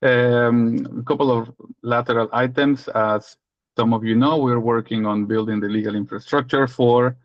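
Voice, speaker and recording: A man's voice: medium pitch, fairly even intonation, moderate pace, no room sound. Mexican accent guy on a video call, joking, long rambly sentences, muffled lo-fi sound. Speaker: legal and data protection lead. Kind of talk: casual update on a community video call.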